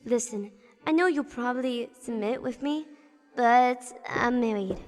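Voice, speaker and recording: A woman's voice: a little high, measured pace, natural voice. A woman playing a cheeky, self-assured Time Lord rogue in a sci-fi audio drama, with a breezy, teasing manner. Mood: dismissive. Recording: long hall reverb.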